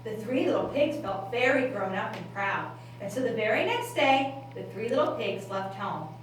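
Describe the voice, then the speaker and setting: A woman's voice: mid-range voice, lively intonation, normal speed. A woman narrating a scripted children's story as the storyteller of a puppet show. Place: library room. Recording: distant, very echoey room, faint noise.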